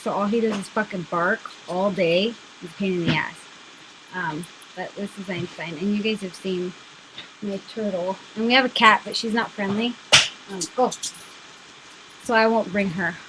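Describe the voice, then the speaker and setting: A woman's voice: mid-range voice, fairly bright tone. A woman co-hosting a casual live stream, chatting bluntly with swearing and teasing. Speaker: podcast co-host. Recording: noisy live webcam stream.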